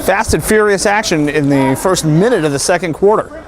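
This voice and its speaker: High-pitched man calling basketball live. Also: brisk. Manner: excitable, rises in pitch on big plays, light jokes. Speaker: play-by-play announcer. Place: high school gym during a game. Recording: loud gym noise under commentary. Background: crowd and bouncing basketballs.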